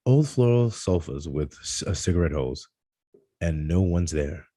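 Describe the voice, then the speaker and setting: A man reads out screenplay stage directions as narrator. His voice is deep and mellow, with a dry, close sound. The delivery is halting, tripping on the odd word. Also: lively intonation, no room sound. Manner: halting read-aloud with stumbles over words. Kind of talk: scripted narration at a table read.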